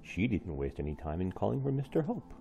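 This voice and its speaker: A man reads a picture book aloud as a teacher would. His voice is low and gravelly, with very animated intonation. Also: a little fast, faint music behind. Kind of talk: expressive storybook read-aloud.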